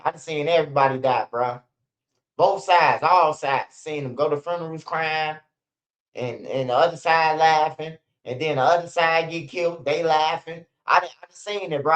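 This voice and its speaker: A man's voice: on the high side, lo-fi sound. A man, hosting a livestream and telling street stories in a blunt, profane, casual way, dropping "bro" and "man" often. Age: 40s. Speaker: host of a street news channel.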